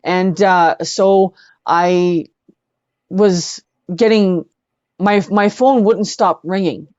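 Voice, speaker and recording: A Canadian woman speaking as an interview guest, answering in a halting, reflective and earnest way. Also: lowish voice, darkish tone, no echo, highs cut off. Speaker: guest, singer-songwriter and speaker.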